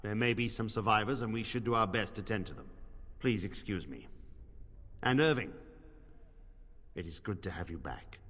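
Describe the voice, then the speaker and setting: An actor playing a stern, dutiful knight commander in a video game, with a firm, formal, authoritative manner. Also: male voice, fairly low pitch, normal speed, pauses often, fairly bright tone, breathy edge. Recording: long hall reverb.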